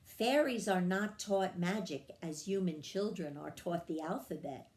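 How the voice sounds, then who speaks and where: A woman reading a children's story aloud in a measured, expressive storytelling manner. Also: on the low side. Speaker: story reader. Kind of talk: scripted children's storybook narration.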